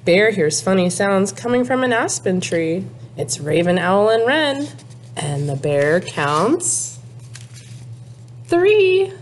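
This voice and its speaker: A woman in her thirties, a teacher, reading a picture book aloud to young children in a sing-song, deliberate way with exaggerated emphasis. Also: mid-range voice, sing-song, a little hiss or hum. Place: small classroom, indoors.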